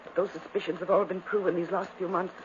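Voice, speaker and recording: An actress playing a dying, delirious twin sister, intense and pleading in 1950s radio drama style. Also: female voice, on the low side, level tone, fast talker, natural voice. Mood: bleak, haunted. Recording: muffled, hissy 1950s radio recording.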